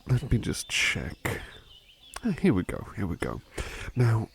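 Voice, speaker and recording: Give the voice of a devoted butler , acted by a man, in a gentle ASMR roleplay. He speaks slowly with a gravelly, creaky edge, courteous and tender. His intonation swoops freely as he softly reassures the listener. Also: on the low side, huge pitch swings, slight background noise, heavily acted. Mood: attentive, courteous. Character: devoted, gentle, polite male butler companion. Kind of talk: ASMR roleplay, soft personal attention.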